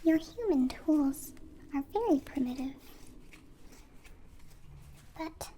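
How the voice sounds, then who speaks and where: A woman voicing a gentle female alien in an ASMR roleplay, whispering softly and breathily with stilted, formal, precise phrasing. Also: sits high, animated, slow, a little hiss or hum. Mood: calm, caring. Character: gentle captive-born female alien caring for a wounded human.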